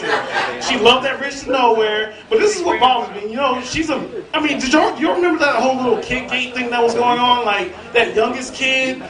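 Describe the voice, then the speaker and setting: High-voiced brash male stand-up comic. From southern United States. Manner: brash, profane, teasing the crowd. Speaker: stand-up comedian. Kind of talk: stand-up comedy set. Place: comedy club stage before a live crowd. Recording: roomy live club audio from a distance with crowd.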